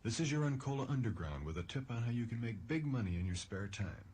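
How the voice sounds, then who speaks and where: A man playing a mock-serious underground tipster in an old scripted soda radio commercial, deadpan and earnest. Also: mid-range voice, quick pace, slight background noise, lightly acted.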